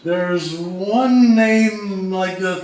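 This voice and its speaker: A man with a high voice delivers a slide presentation slowly and deliberately. He is picked up from a distance, with strong echo from the room around him. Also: a little mellow. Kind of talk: slide presentation on radio history. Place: reverberant room, live presentation. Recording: very echoey room, distant mic, faint noise.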